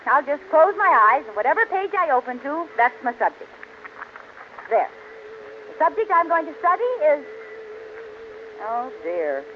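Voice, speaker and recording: High, swooping, scatterbrained radio housewife. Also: female voice, big pitch swings, normal speed, pauses often. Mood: determined, flustered. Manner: theatrical, pretentiously using misused big words. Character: scatterbrained, eager young housewife showing off big words. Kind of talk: 1940s radio sitcom acting. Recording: muffled, hissy old radio broadcast.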